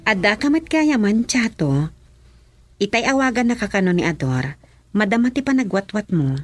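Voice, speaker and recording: A woman's voice: mid-range voice, sing-song, moderate pace, faint music behind, close to an everyday voice. A woman with a Filipino accent acting a cautioning madam of the household in a radio drama. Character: governor's wife, cautioning her driver, madam of household.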